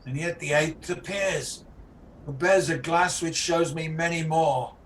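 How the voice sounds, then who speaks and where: A man playing a haunted, ruthless tyrant king in an amateur Shakespearean radio play, over a noisy video call. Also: on the high side. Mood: agitated, horrified.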